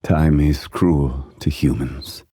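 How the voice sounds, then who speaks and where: A man playing a composed, ancient, scholarly gentleman in a video game, speaking with measured, formal and deliberate diction. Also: a bass voice, fairly even intonation, slightly rough, dry recording, clean studio sound, exaggerated voice. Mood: wistful, reflective. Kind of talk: video game character voice lines.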